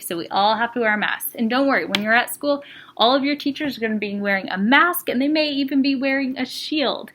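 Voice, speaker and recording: A woman with a fairly high voice speaks as an elementary school teacher to young children. She keeps her phrasing simple and reassuring, with expressive delivery suited to a read-aloud. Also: a little hiss or hum.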